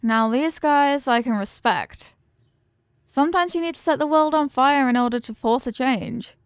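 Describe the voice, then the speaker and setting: A woman's voice: fairly high pitch, clean tone, no room sound, studio quality, natural voice. A woman playing a confident, affectionate mafia boss in an intimate roleplay, teasing and streetwise, with slangy talk and pet names. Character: confident, doting female mafia boss, tough but affectionate. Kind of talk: intimate ASMR-style roleplay acting.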